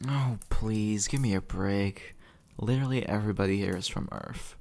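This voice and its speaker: A sardonic male demon, acted by a man for an intimate audio roleplay. His voice sits low with a heavy creak, and he talks bluntly and conversationally, like someone long tired of explaining the afterlife to newcomers. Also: moderate pace, a little mellow, natural voice. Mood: exasperated, sarcastic. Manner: sarcastic, exasperated, blunt, conversational. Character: sardonic, impatient male demon, centuries dead, gruffly reassuring. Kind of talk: audio roleplay monologue addressed to the listener.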